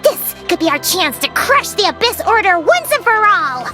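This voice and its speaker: A woman voicing a small, perky, chatty fairy girl companion in a video game, with a squeaky childlike voice and exclamatory manner. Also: sing-song, normal speed, loud music behind the voice. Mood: excited. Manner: exclamatory, speaks of herself in third person. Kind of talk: animated video game character acting.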